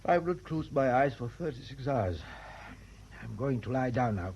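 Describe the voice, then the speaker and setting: Weary, imperious German sea captain. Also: male voice, mid-range voice, lively intonation, normal speed, breathy edge. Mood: weary, complacent. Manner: imperious, curt orders. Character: weary, irritable German merchant ship captain, complacent and commanding. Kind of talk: radio drama acting. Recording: dull, noisy old radio drama recording.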